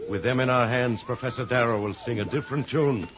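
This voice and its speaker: An actor playing a ruthless, paranoid tyrant bent on conquest, menacing and grandiose in old-time radio style. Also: male voice, mid-range voice, brisk, music in the background, close to an everyday voice. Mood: cold, commanding. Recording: old radio transcription, muffled, hissy.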